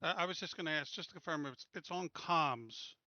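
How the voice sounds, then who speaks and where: Bright voiced guy in a tabletop game, double checks stuff, audio a bit dull n dry. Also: on the high side, fairly quick, no room sound. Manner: questioning, repeats to confirm. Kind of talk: casual game chat.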